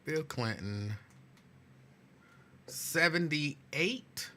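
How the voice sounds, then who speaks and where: Bright-voiced male host losing his voice. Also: fairly high pitch, animated, drawn-out words, slight background noise. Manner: chatty, repeats phrases, talks to listeners directly. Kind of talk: casual talk show chat.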